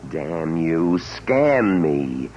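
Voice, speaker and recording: A man's voice: rumbling low, very animated, drawn-out words, a little mellow, full character voice. Blustering, theatrical middle-aged father. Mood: bossy, angry. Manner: blustering, theatrical, self-dramatizing. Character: exasperated, melodramatic suburban father, middle-aged man. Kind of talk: vintage radio comedy-drama acting. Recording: noisy, dull old radio broadcast.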